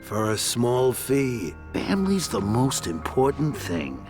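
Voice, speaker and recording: A man's voice: mid-range voice, sing-song, slow, over music. A man playing a menacing mobster in a cartoon, making veiled threats while sounding falsely helpful. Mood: veiled menace. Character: menacing male mobster extorting a circus.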